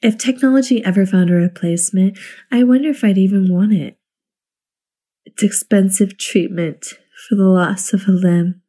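A woman telling a personal story and reading poems aloud in an intimate, reflective way, with halting pauses. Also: medium pitch, warm tone. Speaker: listener contributor.

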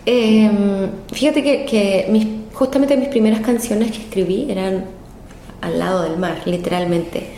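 A woman's voice: mid-range voice, unhurried, mellow, a little hiss or hum. A Chilean woman in her thirties with a Chilean accent, answering interview questions in a hotel room, hesitant, with many fillers and repeated words. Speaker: guest singer. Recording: roomy echo with faint ambience.

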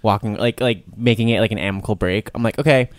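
A man's voice: lowish voice, very fast, dry recording, clean studio sound. A man in his late twenties co-hosting a casual podcast, frank and reflective, steering the talk. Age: late 20s. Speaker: podcast co-host. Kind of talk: casual chat.

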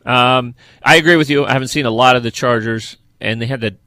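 A man's voice: medium pitch, brisk, pushed and bright, no echo. A man co-hosting a sports podcast, chatting casually and giving emphatic opinions on football games.

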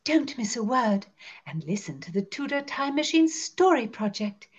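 A woman playing a haughty, playful Tudor gentlewoman who speaks in an arch, theatrical courtly manner for a podcast. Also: fairly high pitch, big pitch swings, normal speed, husky. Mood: cheery. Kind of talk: in-character podcast monologue.

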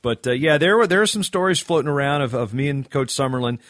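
Male coach with a Michigan accent, mid-pitched, dry close sound, rambling and joking. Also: expressive, fast talker, fairly bright tone, dry recording. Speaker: assistant wrestling coach. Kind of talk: casual podcast chat.

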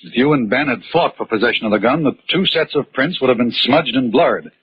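An actor playing an earnest, level-headed suspect turned sleuth in a 1940s radio mystery, speaking in a measured, articulate way. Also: male voice, mid-range voice, natural voice. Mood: grave, accusing. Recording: muffled, noisy 1940s radio recording.

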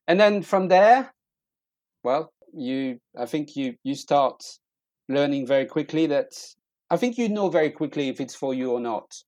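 A middle-aged man with a French accent telling personal stories in casual conversation, haltingly, often repeating words as he searches for them. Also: on the high side, lively intonation, a little mellow, no echo. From eastern France. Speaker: interview guest.

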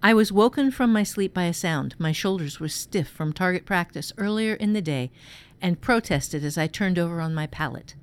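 A woman reads as a protective schoolboy telling his own fantasy tale. The voice is slightly low for a female reader and moves in steady, measured storybook phrases. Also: clear, resonant tone, lightly acted. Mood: tense, hushed. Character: first-person boy narrator, steady, protective dormitory pupil. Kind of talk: scripted fantasy story reading.

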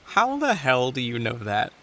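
A woman playing a sarcastic, protective teenage sidekick in a naturalistic audio drama, wry and sometimes halting. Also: very deep voice, fairly bright tone, exaggerated voice.

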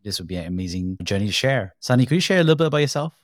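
A man's voice: on the low side, sing-song, rapid-fire, no echo, clean studio sound. A man hosting a podcast interview, asking questions in an enthusiastic, encouraging way. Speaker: podcast host.

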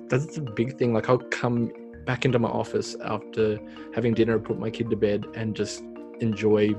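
A man in his thirties, a small business owner, talking reflectively in a casual interview with many fillers. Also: lowish voice. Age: 30s.

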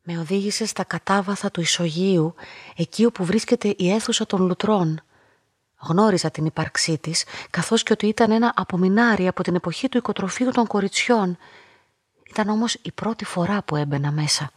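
An actress playing a solitary young woman who narrates her own story in a radio drama, reflective and measured, with a husky voice. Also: mid-range voice, no room sound, studio quality, natural voice. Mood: observant, pensive. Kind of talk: literary first-person dramatic narration.

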